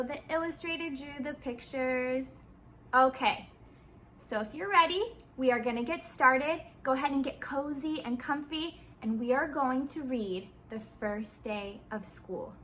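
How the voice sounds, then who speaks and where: Lady reading a kids book out loud, high bright voice, takes it slow. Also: slight background noise. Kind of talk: expressive children's storybook read-aloud.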